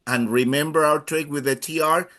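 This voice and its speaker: A man's voice: on the high side, steady tone, normal speed, a bit bright. A man from Chile with a Chilean accent, a native Spanish speaker, teaching a language class in an encouraging, playful way with many fillers.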